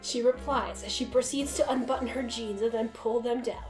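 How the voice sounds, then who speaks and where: High-pitched woman narrating a comic story. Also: fairly even intonation, moderate pace, a little mellow. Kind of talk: dramatic reading of a comic story. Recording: roomy echo, music behind.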